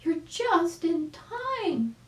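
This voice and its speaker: A woman's voice: extremely high pitch, very animated, very slow, voice pushed up, exaggerated voice. A woman voicing an eager, friendly young chicken girl in a storybook, gushing and exclaiming. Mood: excited, eager. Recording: roomy home recording.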